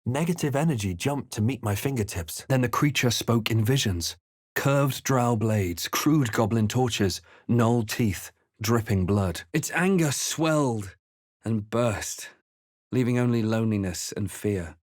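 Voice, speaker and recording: An actor playing a brooding male fantasy adventurer, voicing video game lines in a hushed, deliberate, theatrical way. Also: mid-range voice, expressive, no room sound, studio quality. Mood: ominous, grave. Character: brooding male fantasy adventurer narrating his own quest.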